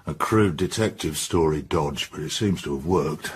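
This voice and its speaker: An actor playing a blunt, suspicious middle-aged English police inspector, bluff and colloquial, in a radio drama. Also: male voice, very deep voice, moderate pace. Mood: gruff, grudging. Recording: clear background noise and ambience.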